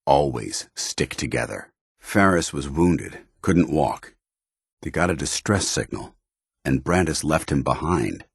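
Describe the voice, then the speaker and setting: An actor playing a stern, honorable soldier in a video game, with a gruff voice and a clipped, formal, earnest delivery. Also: male voice, a bass voice, animated, a little slow, dry recording, clean studio sound, heavily acted. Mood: grim, commanding. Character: stern, duty-bound military paladin, gruff and honorable adult man. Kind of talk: video game companion dialogue.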